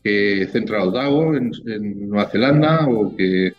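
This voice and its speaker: A man's voice: lowish voice, lively intonation, a little slow, lo-fi sound, faint music behind. A man from Spain with a Spanish accent, a winemaker talking casually as an interview guest, rambling and full of tag questions.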